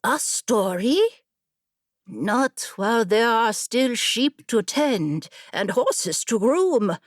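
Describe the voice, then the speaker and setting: Woman playing a slow, scolding old grandmother. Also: on the high side, very animated, no room sound, studio quality, full character voice. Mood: mock-stern. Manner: scolding, tutting. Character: sharp-tongued, fond old grandmother, nomad clan storyteller.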